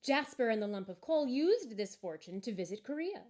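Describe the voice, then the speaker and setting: Expressive woman reading a holiday story. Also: fairly high pitch, moderate pace. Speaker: story reader. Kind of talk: expressive children's story narration.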